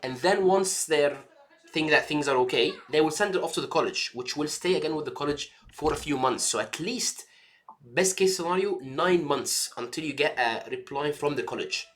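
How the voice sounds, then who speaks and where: A man's voice: on the high side, expressive, moderate pace, a bit bright. A man mentoring a trainee doctor in casual talk, reassuring, checking in often with "yeah" and "okay". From Egypt. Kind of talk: casual advice chat.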